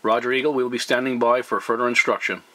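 A man talking as a CB radio operator, courteous and deliberate, using procedure words. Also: mid-range voice, fairly even intonation, heavy background noise. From Canada.

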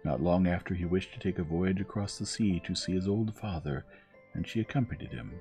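Male bedtime story reader, very low and warm, soft measured delivery. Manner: soft, measured, soothing reading. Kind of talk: gentle scripted storybook narration. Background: music.

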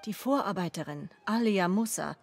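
An actress playing a worried, dutiful regent in a fantasy video game, speaking formally and earnestly. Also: female voice, mid-range voice, expressive, normal speed, breathy edge, faint music behind. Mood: troubled, apologetic.

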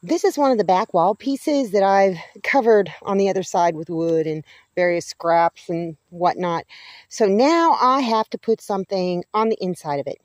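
A middle-aged woman talks through her homestead projects in a folksy, rambling way, filming with a camera held close. Her voice sits at an ordinary pitch for a woman. The sound is dry, with almost no room around it. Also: lively intonation, normal speed, no room sound. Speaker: homesteading vlogger. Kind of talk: casual vlog chat. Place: outdoors on a homestead among chickens. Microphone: handheld camera or phone at arm's length.

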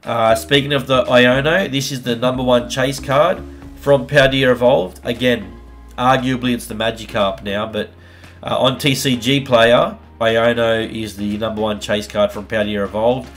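A man, hosting a casual vlog where he opens trading card packs. His voice is slightly husky from a recent bug, and he talks in an upbeat, chatty way. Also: medium pitch, steady tone, normal speed, a bit bright, soft background music. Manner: upbeat, hype-building, chatty. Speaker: card-opening YouTuber host. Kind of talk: casual pack-opening vlog. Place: home room with colored lights. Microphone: studio mic on a desk arm.

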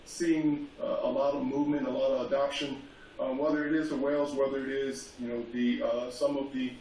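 A man's voice: mid-range voice, flat delivery, a little fast, darkish tone. A man speaking as a guest on a panel, hesitant, with many fillers and restarts. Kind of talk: casual panel talk. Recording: echoey remote call, faint noise, far from mic.

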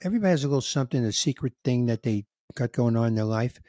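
A man in his sixties hosting a podcast, telling a story in a casual, animated way and acting out the dialogue, with some emotion. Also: mid-range voice, very fast, no echo, studio quality. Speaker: podcast host, comedian. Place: home room with record shelves. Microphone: studio mic on boom, headphones, video call.

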